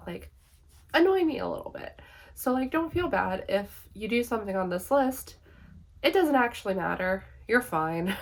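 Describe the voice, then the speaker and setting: A young woman chatting casually to camera about her pets, a little hoarse from being sick, laughing often and filling with "uh" and "like". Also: on the low side. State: slightly hoarse from illness. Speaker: pet vlogger.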